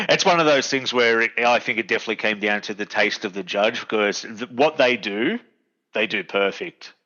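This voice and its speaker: A man's voice: lowish voice, normal speed, sharp tone. A young adult man, chatting in an agreeable, easygoing way and laughing often. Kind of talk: casual podcast chat. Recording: dull lo-fi mic.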